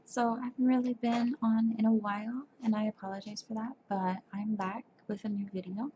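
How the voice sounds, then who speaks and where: A young woman speaking softly for ASMR, tired and sleepy, who stumbles over words and corrects herself as she reads aloud. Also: on the high side, little pitch movement, brisk. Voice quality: soft, breathy. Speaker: ASMR creator. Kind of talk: soft-spoken ASMR chat and reading aloud. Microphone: webcam. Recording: dull lo-fi webcam audio with faint hiss.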